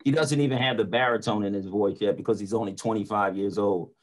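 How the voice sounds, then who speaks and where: A man's voice: lowish voice, fairly even intonation, fast. A middle-aged man from North talks. He is earnest and emphatic, like the preacher he is, and repeats words as he makes his point on a video call. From North Philadelphia, United States. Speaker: preacher and motivational speaker. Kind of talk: casual discussion in an online panel. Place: small room at home or office, indoors. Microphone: video call computer mic.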